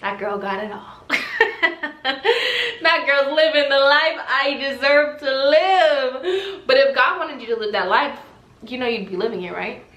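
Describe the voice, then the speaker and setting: A young woman in her twenties with a bright, higher-pitched voice, chatting through a faith Q&A. She is talkative and animated and calls herself loud. She often presses the listener with rhetorical questions, and her voice rings in a roomy, echoey space. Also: measured pace, muffled recording. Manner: talkative and animated, self-described loud yappy voice; exhorting, rhetorical questions to viewers. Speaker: faith vlogger answering viewer questions. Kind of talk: casual faith chat, preachy Q&A. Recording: echoey room.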